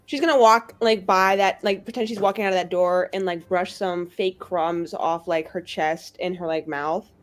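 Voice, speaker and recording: A woman's voice: lowish voice, steady tone, moderate pace, hardly any pauses, soft background music. A woman chatting casually as a player in a tabletop roleplaying game.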